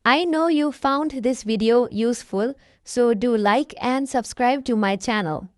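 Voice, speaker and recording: A woman's voice: a little high, unhurried, no echo, studio quality, close to an everyday voice. A woman reading the same short sample line several times, each in a different way. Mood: demonstrative.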